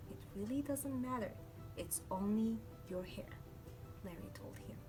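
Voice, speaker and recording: A woman guest reader reading a children's picture book aloud in a quiet, soft-spoken way. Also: mid-range voice, rough recording.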